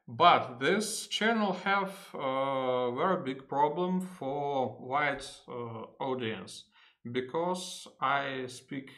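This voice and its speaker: A man with a Russian accent and Russian as his first language, giving an unedited software tutorial in English, halting often with fillers and correcting himself. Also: medium pitch, expressive. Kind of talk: unedited screen-recorded tutorial.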